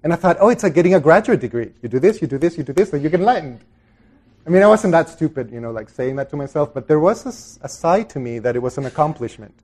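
Higher-voiced man giving a reflective talk. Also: lively intonation, fast, darkish tone. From El Salvador. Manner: conversational, reflective, trailing off into asides. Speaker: Buddhist meditation teacher. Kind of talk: informal dharma talk to a group.

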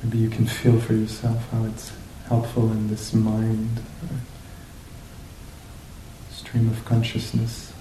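Male meditation guide, unhurried and hesitant, lowish pitch, very slow delivery, recorded in a roomy space with faint rumbling noise. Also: monotone, dark tone. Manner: hesitant, searching, pausing between short phrases. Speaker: meditation teacher leading a group. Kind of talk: guided meditation talk, unscripted and reflective.

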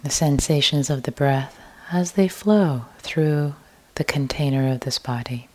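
A woman meditation teacher leading a guided meditation in a gentle, hushed way, leaving long pauses. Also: sits low.

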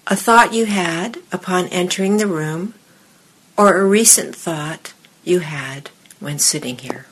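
Slow, husky woman leading guided meditation. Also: on the low side. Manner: measured, deliberate, with pauses between short phrases. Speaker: meditation teacher. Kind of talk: guided meditation and dharma talk to a group in the room.

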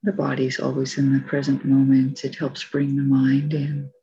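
A woman teaching meditation, giving calm, gentle spoken guidance with long pauses between phrases. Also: rumbling low, slightly rough, dull audio. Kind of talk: guided meditation, soft spoken instruction.

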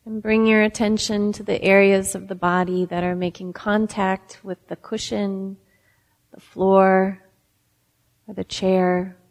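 A woman meditation teacher leading a guided meditation, giving gentle instructions with long pauses. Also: mid-range voice, level tone. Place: meditation center hall. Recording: reverberant hall.

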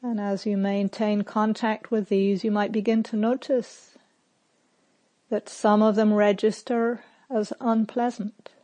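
A woman meditation teacher leading a guided meditation in short, measured phrases with long pauses. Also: mid-range voice, little pitch movement, dark tone.

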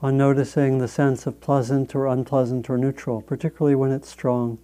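A man guiding a meditation as a teacher, speaking in a measured, gentle way with long pauses between phrases. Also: medium pitch, level tone. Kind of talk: guided meditation instruction.

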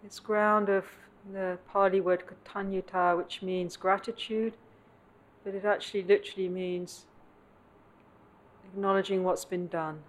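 A woman meditation teacher leading a guided meditation in contemplative, measured phrases with long pauses, recorded live at a meditation center. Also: mid-range voice, fairly even intonation, low-quality recording. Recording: clear background noise, live room ambience.

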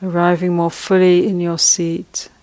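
Slow, even-toned woman guiding meditation. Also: lowish voice. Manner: slow, soft, gently paced instructions with long pauses. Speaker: meditation teacher. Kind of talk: guided meditation.

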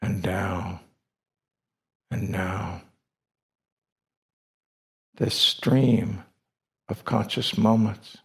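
A man with a low voice and a heavy creak guides a meditation. He speaks in short, deliberate phrases and leaves long pauses between them. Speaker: meditation teacher. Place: meditation retreat hall.

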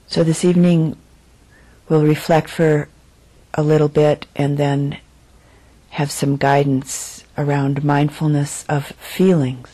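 A woman meditation teacher with a low, mellow voice gives a guided dharma talk. She phrases things deliberately and leaves frequent pauses. Her delivery is very unhurried. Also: little pitch movement.

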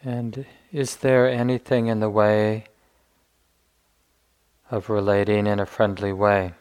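A man leading a guided meditation as a teacher, speaking gently in unhurried phrases with long pauses. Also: on the low side, level tone, clean tone.

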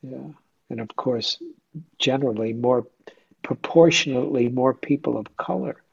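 A man's voice: medium pitch, normal speed, creaky, husky, muffled recording. A man leading an informal group discussion as a meditation teacher, conversational and questioning, often checking in with listeners. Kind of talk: informal question-and-answer dharma talk.

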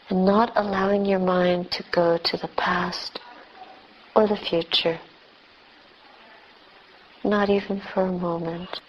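Female meditation guide, unhurried pace, mid-range pitch, gentle measured delivery with pauses. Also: a little hiss or hum, rough recording. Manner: gentle, measured, with long pauses between short phrases. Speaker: meditation teacher, Buddhist nun.

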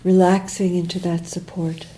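A woman leading a guided meditation as a teacher, speaking gently in short, unhurried phrases with long pauses and quiet, trailing endings. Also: on the low side, level tone, slight background noise, low-quality recording.

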